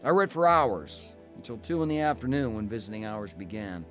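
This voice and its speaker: An actor playing a stubborn, troubled husband in a radio drama, plain-spoken and earnest. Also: male voice, lowish voice, sing-song, fairly quick, fairly bright tone, soft background music. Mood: earnest recounting. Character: stubborn, troubled husband recounting his conversion. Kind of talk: radio drama narration and dialogue.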